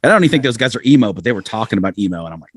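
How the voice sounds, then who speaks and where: Fast-talking, low-pitched man telling band stories. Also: slightly rough, no room sound. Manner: animated storytelling, acting out quotes, self-deprecating. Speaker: musician, podcast guest. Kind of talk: casual anecdotal interview chat.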